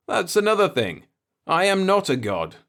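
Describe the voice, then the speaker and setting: Man playing an earnest, insistent space traveler, raised pitch. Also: wildly swooping pitch, normal speed, a bit bright, exaggerated voice. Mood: insistent, pleading. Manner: insistent, explanatory, persuasive. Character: earnest human male space traveler, reasoning, impatient.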